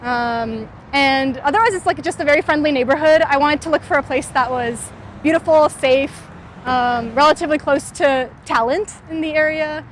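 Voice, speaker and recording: Young woman, bright high voice, loose conversational style, filler words, faint street noise. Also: moderate pace. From California, USA. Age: 20s. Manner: frequent "like" and "um" fillers, thoughtful. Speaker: startup founder interviewee. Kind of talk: casual interview while walking. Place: outdoors on a city street.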